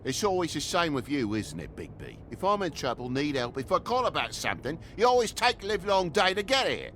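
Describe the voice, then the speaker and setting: An actor with a British accent voicing an aggrieved, slangy middle-aged toad, a shifty dad in a video game, whining and indignant. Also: male voice, fairly high pitch, wildly swooping pitch, a little fast, a bit bright, a little hiss or hum, heavily acted. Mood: bitter, aggrieved. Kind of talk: dramatic video game dialogue.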